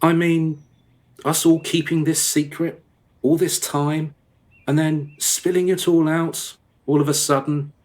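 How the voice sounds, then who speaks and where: Man playing an uneasy, very slow-speaking uncle. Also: a little high, little pitch movement, darkish tone, natural voice. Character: uneasy, evasive uncle with a guilty secret. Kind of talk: radio play acting.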